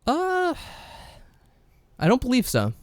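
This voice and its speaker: A man co-hosting a podcast, casually discussing games in a matter-of-fact, low-key way. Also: wildly swooping pitch, slow pace, no echo. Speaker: podcast co-host.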